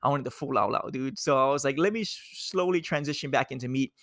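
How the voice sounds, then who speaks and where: A young man from Hawaii talks nutrition with his viewers in an easygoing coach's ramble, full of "um"s and "dude"s. His voice sits at an ordinary middle pitch. The recording is dry and close, with no room sound. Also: fast talker, fairly bright tone, no room sound. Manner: laid-back, rambling, full of fillers and "dude". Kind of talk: casual talk to viewers.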